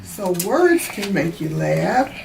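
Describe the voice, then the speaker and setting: Slow, roomy-sounding woman reading a storybook. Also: medium pitch, big pitch swings, creaky. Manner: deliberate, explanatory, teacherly. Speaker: author reading own book. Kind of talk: children's storybook read-aloud with explanations. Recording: roomy, distant mic, faint background noise.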